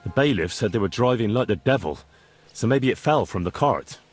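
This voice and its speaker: An actor playing a polite, inquisitive young medieval blacksmith's lad with a husky voice, in a video game. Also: male voice, fairly low pitch, lively intonation, fairly quick, faint music behind. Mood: earnest. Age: young adult. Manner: courteous, inquisitive.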